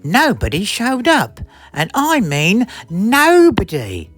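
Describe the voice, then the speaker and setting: A British woman reading a children's storybook aloud in an expressive, theatrical way. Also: medium pitch, takes it slowly, faint music behind. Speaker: storybook reader. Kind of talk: animated scripted storybook narration.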